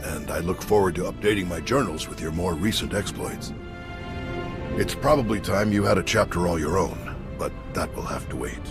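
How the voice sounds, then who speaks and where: Deep, gravelly, solemn Jedi master. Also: male voice. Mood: solemn, formal. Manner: formal, deliberate. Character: solemn scholarly Jedi master, colony leader. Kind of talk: video game voice acting. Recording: background music under the voice.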